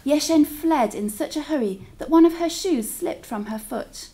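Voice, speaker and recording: A woman reading a story aloud to children as a teacher, in an expressive, deliberate storytelling style with drawn-out words. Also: high-pitched.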